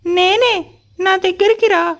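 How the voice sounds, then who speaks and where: A woman's voice: very high-pitched, steady tone, takes it slowly, gravelly, fairly bright tone, heavily acted. A woman voicing a kindly magical apple tree in a children's cartoon, with a coaxing manner. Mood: urging. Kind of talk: children's cartoon story voice acting.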